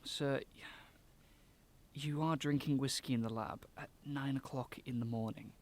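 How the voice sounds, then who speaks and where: A man's voice: medium pitch, fairly even intonation, a little slow, lightly acted. A man acting in a radio drama as a concerned laboratory assistant, formal, respectful and earnest. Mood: concerned, pleading.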